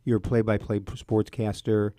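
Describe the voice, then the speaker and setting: A man, middle-aged or older, talks in a conversational radio style. His pitch is on the low side and the sound is close and dry. He likes to restate and repeat his phrases. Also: little pitch movement, normal speed, mellow, dry recording. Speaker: priest, radio host.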